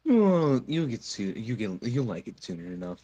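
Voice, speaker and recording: A man voicing a jaded, sarcastic cartoon circus resident in an amateur fan dub, halting, on a muffled mic. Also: mid-range voice, big pitch swings, warm tone, husky. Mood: dismissive explaining.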